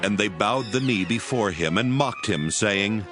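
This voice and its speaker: Deep solemn male scripture narrator. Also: lively intonation, music in the background. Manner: solemn, measured reading.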